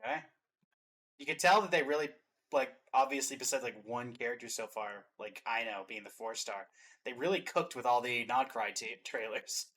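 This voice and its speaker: A reaction host with a middling-pitched voice, talking casually. The delivery is conversational commentary. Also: normal speed, fairly bright tone.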